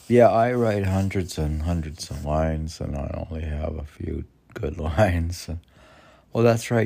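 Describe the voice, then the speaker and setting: An older man reading poetry informally, with halting, musing asides in which he asks and answers himself. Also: sits low, vocal fry, mellow. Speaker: poetry reader and podcast host.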